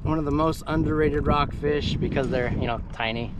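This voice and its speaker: A man with a slightly high, groggy voice talks his way through a day of kayak fishing in a relaxed, upbeat way. He is recorded outdoors on the water, and a steady outdoor noise sits clearly under him. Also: moderate pace. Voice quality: groggy morning voice. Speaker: fishing vlogger. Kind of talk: casual running commentary while fishing.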